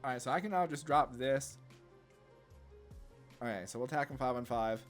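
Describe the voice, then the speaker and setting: A man with a medium-pitched voice talks through a card game in a casual, laid-back way. He thinks aloud like a gaming content creator, with faint music playing behind him. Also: normal speed.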